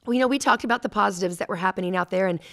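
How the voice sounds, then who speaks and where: A middle-aged woman coach answering press questions at a table mic, measured and full of ums and restarts. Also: medium pitch, gravelly. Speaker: head basketball coach. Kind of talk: post-game press conference answers. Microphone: desk mic on a stand.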